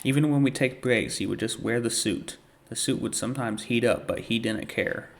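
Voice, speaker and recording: A man hosting a reaction video, casually commenting and reading captions aloud in a halting, thinking-aloud way. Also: medium pitch, vocal fry.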